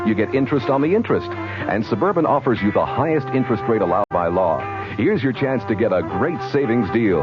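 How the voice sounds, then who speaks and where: Low, animated male radio ad announcer. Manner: polished sales delivery. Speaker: commercial announcer. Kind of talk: scripted radio advertisement read. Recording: dull old radio broadcast recording.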